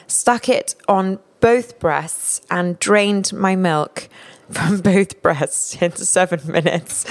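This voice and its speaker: A woman telling a candid, self-mocking story in casual chat. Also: lowish voice.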